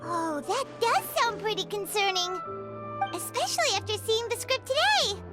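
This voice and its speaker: A woman voicing a tiny, excitable, childlike fairy companion in an anime-style video game, with a squeaky, whiny, eager delivery. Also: normal speed, loud music behind the voice. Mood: curious. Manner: speaks of herself in third person, whiny, eager.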